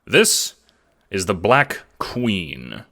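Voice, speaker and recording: A brash gangster schemer for an audio drama. He talks in cocky, jovial old-time wiseguy fashion, with a bright, punchy edge. He sounds sure of himself and out for his own gain. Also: low voice, very animated, very slow, exaggerated voice. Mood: conspiratorial. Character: scheming, brash, egotistical male gangster adventurer.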